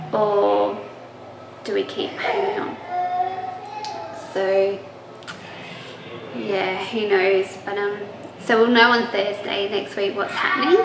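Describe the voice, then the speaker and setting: Slow-talking young woman, losing her voice. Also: mid-range voice. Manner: chatty, many ums and restarts, laughs easily. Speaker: pregnancy vlogger. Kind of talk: casual personal update. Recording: echoey room with faint noise.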